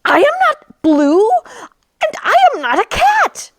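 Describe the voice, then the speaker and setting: A woman's voice: extremely high pitch, wildly swooping pitch, slow pace, fairly bright tone, no room sound, pitched above the performer's own voice, full character voice. A woman voicing an indignant, easily alarmed male dog in a children's storybook, protesting and exclaiming emphatically.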